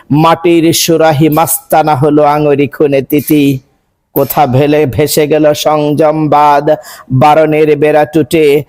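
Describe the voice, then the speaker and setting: A man reciting a poem in a measured, rhythmic, declamatory way. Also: on the high side, a little mellow. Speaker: poetry reciter. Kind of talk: dramatic recitation of a Bengali poem.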